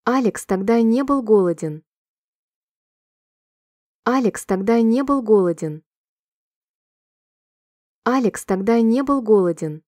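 A woman reading short sentences slowly and clearly for a language-listening drill, repeating each one in a measured, even way. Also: fairly high pitch, dry recording. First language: Russian. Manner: clear, measured, evenly paced repetition. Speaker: Russian sentence reader.

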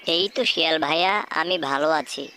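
A woman voicing a kindly, wise male peacock who gives advice in a children's animated folk tale. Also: deep voice, normal speed, a little hiss or hum. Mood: friendly.

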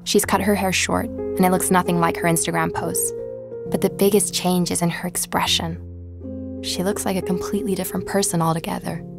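A determined young woman investigator in an audio drama. Her voice sits a little low and she speaks reflectively, as if for her own podcast. Music plays clearly underneath. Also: flat delivery, fairly quick. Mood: reflective narration. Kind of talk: audio drama, conversation and first-person narration.

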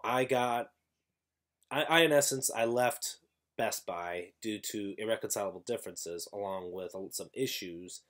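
A young man vlogging at home to his webcam, sounding congested with an irritated throat, rambling with many restarts and self-corrections. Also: on the low side. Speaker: YouTuber. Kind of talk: casual vlog talk to camera. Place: small room at home, indoors.

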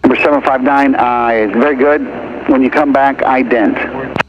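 A man's voice: mid-range voice, fairly even intonation, moderate pace, narrow, tinny audio. A man working as an air traffic controller, giving clipped instructions over aviation radio.

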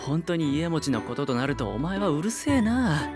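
Laid-back grumbly anime young man, blunt and muttery, pitch all over, music under it. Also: very animated, moderate pace. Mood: grumbling. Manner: blunt, rough casual speech, muttering. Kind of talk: anime voice drama acting.

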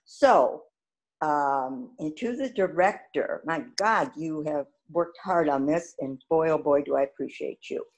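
Older woman with a lowish voice. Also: a little slow, low-quality recording. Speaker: playwright. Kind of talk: informal critique and thanks at a play-reading talkback.

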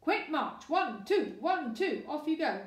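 A woman's voice: sits high, very animated, voice pushed up. A woman voicing a cheerful, bossy father elephant who takes charge of the family in a storybook. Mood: bossy jolly. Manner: brisk, commanding, jolly. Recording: roomy echo.

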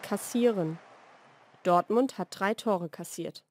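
A woman reading a scripted language lesson, giving single words and short example sentences with measured, deliberate enunciation. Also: mid-range voice, darkish tone. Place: dry studio voice-over recording.

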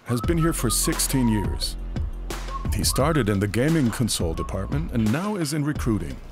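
A man reading scripted documentary narration in a measured, informative way. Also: mid-range voice, big pitch swings. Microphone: studio voice-over. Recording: narration over clear music. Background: music bed.

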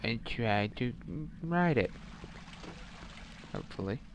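Slow-talking young man gaming. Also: mid-range voice, animated, noisy background. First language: Swedish. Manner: muttering, reacting to a horror game. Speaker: gamer. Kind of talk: let's play commentary. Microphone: headset mic.

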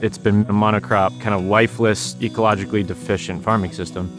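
A man's voice: on the low side, level tone, quick pace, over music. A man speaking as a farmer in a documentary interview.

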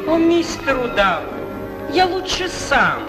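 Ragged cartoon hare: melodramatic, slow-spoken, plaintive, with loud music on an old soundtrack. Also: male voice, very high-pitched, wildly swooping pitch, heavily acted. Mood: anxious pleading. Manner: plaintive, theatrical, declaiming. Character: ragged, lovesick, melodramatic hare, young male. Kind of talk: 1940s Soviet animated film acting.

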